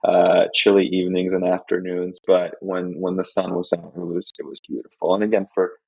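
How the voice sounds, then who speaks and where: A man's voice: low voice, flat delivery, brisk, gravelly, breathy edge, dry recording, dull audio. A man from Estonia whose first language is Russian, a podcast guest chatting casually with many fillers and restarts. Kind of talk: casual interview chat.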